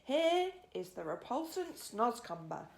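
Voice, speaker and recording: A big old friendly giant man played by a woman reading a storybook speaks with great emphasis. His pitch is raised and leaps about freely. His grammar is muddled and peppered with made-up words. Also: big pitch swings, unhurried, vocal fry, darkish tone, exaggerated voice. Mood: emphatic. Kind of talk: children's storybook character reading.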